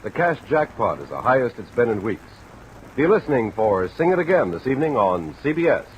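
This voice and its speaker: A man's voice: mid-range voice, big pitch swings, fairly quick, noisy background. An American man announcing in the promotional style of 1940s network radio. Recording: dull old radio broadcast, rain sound over it.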